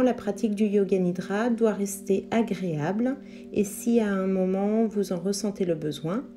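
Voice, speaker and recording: Female yoga nidra guide, medium pitch, calm and instructive, measured phrasing, meditation music clearly underneath. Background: meditation music with singing bowl tones.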